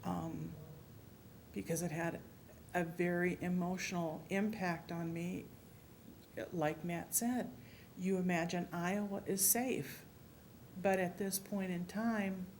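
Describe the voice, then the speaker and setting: A middle-aged woman in an audience, speaking earnestly and with emotion about her memories. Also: a little hiss or hum. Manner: earnest, emotional, low and even. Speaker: audience member. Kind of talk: personal reflection at a public talk. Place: public library meeting room.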